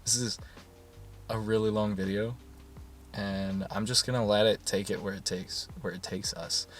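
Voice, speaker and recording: A man talking in a soft, soothing voice in a casual rambling monologue, full of fillers and restarts. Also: lowish voice, fairly even intonation, normal speed, soft background music. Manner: soft-spoken, meandering, many fillers and restarts. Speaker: YouTuber.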